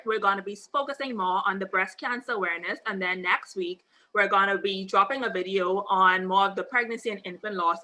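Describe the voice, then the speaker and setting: A woman's voice: medium pitch, bright, forceful tone, poor audio. A young woman hosting a video-call interview in an upbeat, conversational way. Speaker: host. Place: indoors at home, seated in armchair. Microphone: webcam on video call.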